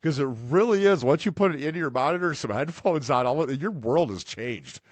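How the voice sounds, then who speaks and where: A man hosting a podcast talks in a fairly high, lively voice that jumps up and down as he makes a point. The recording is dry and close, with no room sound around him. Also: brisk, no echo. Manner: animated, swings his voice up when quoting. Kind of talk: casual podcast interview.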